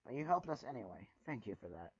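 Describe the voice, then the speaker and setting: A man's voice: medium pitch, very animated, fast, slightly rough. A man voicing a confident, friendly young female unicorn with a gracious, teasing manner in a storybook reading. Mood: grateful, teasing. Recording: muffled, roomy home recording.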